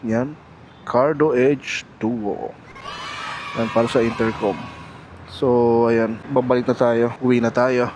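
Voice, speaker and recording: A man's voice: medium pitch, steady tone, slow, long pauses, a little mellow. A Filipino man chatting casually and playfully as a motovlog host out on the road, mixing in English phrases. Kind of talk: casual vlog chat. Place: outdoors, riding a motorbike on the road. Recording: noisy outdoor road and vehicle noise. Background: traffic and vehicle noise.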